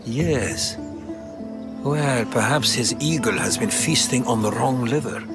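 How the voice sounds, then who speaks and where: An actor playing a learned, secretive older Greek historian in a video game, speaking in a measured storytelling way. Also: male voice, mid-range voice. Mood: earnest, intrigued. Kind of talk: dramatic video game dialogue. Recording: game music under the voice.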